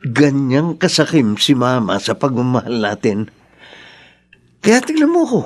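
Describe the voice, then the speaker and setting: An actor playing a world-weary, sympathetic older brother, confiding and resigned, in a radio drama. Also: male voice, medium pitch, big pitch swings, a little slow. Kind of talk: radio drama acting in Tagalog.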